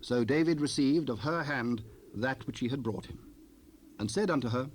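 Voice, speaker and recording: Measured male Bible narrator, medium pitch. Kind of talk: scripted scripture narration, King James Bible. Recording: unprocessed cassette rip with noise.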